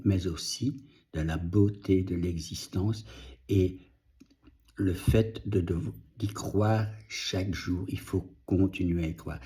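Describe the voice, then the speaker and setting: A middle-aged man whose first language is Dutch, speaking French slowly and reflectively in a personal video update, pausing and restarting, audibly moved. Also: low-pitched, warm tone. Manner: slow, reflective, halting with restarts. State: moved, emotional. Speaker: vlogger and author.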